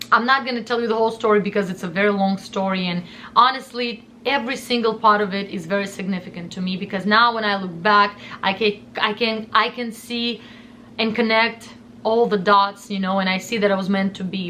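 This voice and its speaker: A woman's voice: medium pitch, bright, forceful tone, slight background noise. A young woman from Russia with a Russian accent, telling a personal story in an earnest, emotional way and often repeating herself. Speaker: vlogger.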